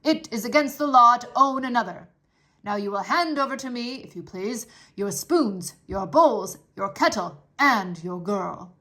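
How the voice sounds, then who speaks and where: A woman's voice: a little high, lively intonation, unhurried. A woman voicing a formal, dutiful male soldier of the king who speaks politely and raises his voice to be heard. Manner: stiff, polite, shouts to be heard.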